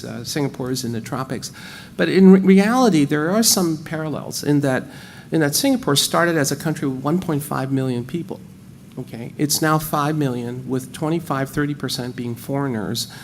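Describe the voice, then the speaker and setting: A man's voice: medium pitch, a little hiss or hum. A man with an American accent speaking animatedly on a panel, repeating words as he thinks. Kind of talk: panel discussion.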